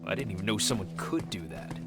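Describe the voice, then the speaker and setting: Young male soldier, lowish voice, inquisitive, earnest, very loud music behind. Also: expressive, fast talker. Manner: earnest, questioning. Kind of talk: video game acting.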